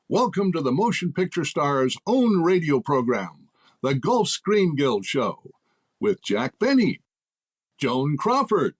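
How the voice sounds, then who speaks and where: An actor playing an excited 1930s radio sponsor announcer, grand and declamatory, with a husky edge. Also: male voice, high voice, exaggerated voice.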